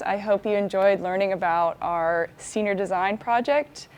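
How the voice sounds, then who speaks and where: A young woman student hosting a casual walkthrough of a class project in a university lab, talking upbeat and friendly. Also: medium pitch. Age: young adult. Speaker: student host of the video. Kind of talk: casual presenting. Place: university engineering lab, indoors.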